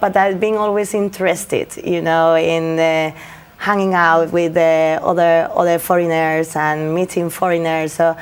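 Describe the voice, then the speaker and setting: A woman's voice: low-pitched, little pitch movement, a little slow, clean tone. A young woman lawyer from Spain with a Spanish accent, speaking English as a second language and answering interview questions with many fillers and restarts. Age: young adult. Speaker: lawyer guest.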